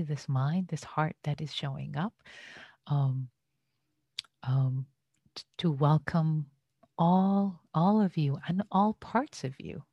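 A woman's voice: low voice, darkish tone, no room sound. A woman born and raised in Iran, a Buddhist teacher giving a dharma talk online, speaking hesitantly with many fillers and restarts. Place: home, online session.

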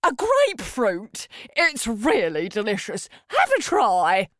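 Woman playing a high-voiced, coaxing storybook mum. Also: huge pitch swings, slow pace, fairly bright tone, dry recording, studio quality, full character voice. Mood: encouraging. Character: doting, pleading mother coaxing her fussy son.

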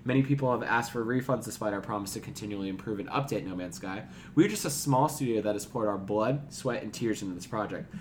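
A male podcast co-host with a fairly low voice speaks in a casual register, chatting or reading items out. There is a little noise behind him on the recording.

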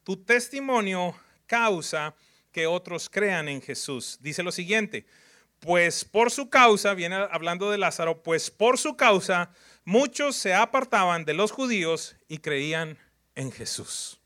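A man's voice: sits high, animated, takes it slowly, sharp tone. A man preaching a sermon in numbered points, emphatic and repetitive, often putting questions to his congregation.

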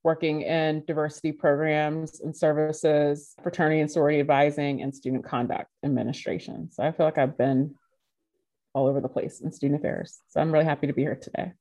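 Low-voiced woman, animated podcast guest. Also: brisk. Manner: animated, laughs while telling stories. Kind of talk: conversational interview answers.

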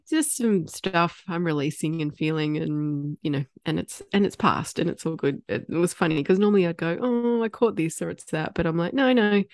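A woman from Australia talks in a relaxed, chatty way, a little husky and nasal because she is congested from a cold. Her voice sits fairly low and sounds close and dry, with no room around it. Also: dry recording. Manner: warm, chatty, laughs often. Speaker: podcast co-host. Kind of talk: casual chat.

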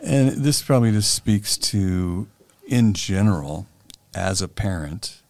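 A man with a fairly low voice talks through his ideas in an earnest, reflective way, pausing on fillers as he goes. The sound is dry and close, with no room around it. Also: animated, darkish tone, no echo. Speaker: podcast guest, author. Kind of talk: conversational interview.

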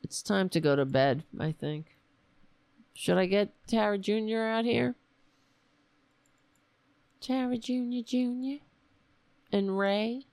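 Slow, gravelly woman hosting a talk show. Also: medium pitch, darkish tone, no echo. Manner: rambling, sarcastic, talks to her cat. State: hoarse, losing her voice. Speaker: political talk show host. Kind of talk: casual political commentary.

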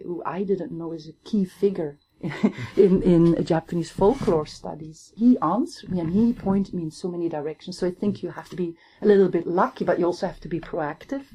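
A Flemish woman in her forties, an academic guest talking thoughtfully in an interview, often pausing to search for words. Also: lowish voice, warm tone, breathy edge, rough recording. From Belgium (Limburg, Flanders). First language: Flemish Dutch. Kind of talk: reflective conversational interview.

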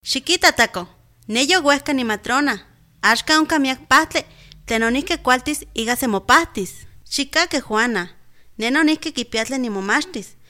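Actress as experienced female midwife, Mexican accent, frank, advising, radio drama, raised pitch, bright tone. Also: lively intonation, moderate pace. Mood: assertive. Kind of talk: scripted educational radio drama.

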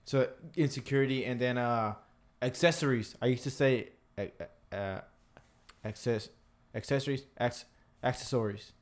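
Casual co-host, male, candid and profane, speeds up when excited. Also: medium pitch, moderate pace. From El Salvador. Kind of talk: casual chat.

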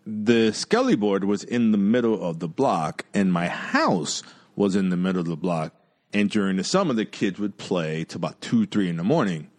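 A man in his forties who grew up in Queens, telling profane, animated stories in a casual chat and laughing often. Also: low voice, moderate pace. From Jamaica, Queens, New York.

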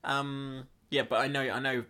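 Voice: mid-range voice, little pitch movement, normal speed, pushed and bright. A person playing the straight role in comic banter, teasing and often puzzled.

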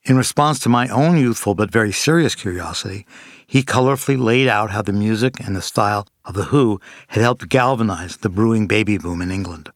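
A man's voice: lowish voice, brisk, dry recording, clean studio sound. A man reading scripted narration as the introducer of a collection of interviews.

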